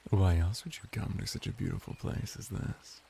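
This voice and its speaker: A man plays a flirty merman attendant in a soothing ASMR bathhouse roleplay. His voice is dark and mellow with plenty of creaky fry. He teases gently and defers like a devoted servant, over faint watery background noise. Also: low-pitched. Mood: curious, teasing. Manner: unhurried, teasing, soothing, deferential.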